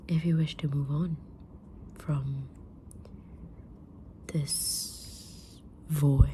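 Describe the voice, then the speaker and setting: A woman playing a kind, mysterious tarot-reading witch in a gentle, breathy whisper for an ASMR roleplay. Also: sits low, fairly even intonation, slight background noise. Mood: knowing, soothing. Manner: gentle, deliberate, soft-spoken, drawn-out pauses.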